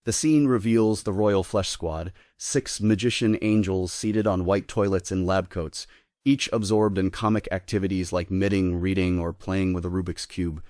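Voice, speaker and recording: A man's voice: deep voice, fairly even intonation, normal speed, a little mellow, dry recording, studio quality. A man giving scripted narration, reading out the stage directions of a play.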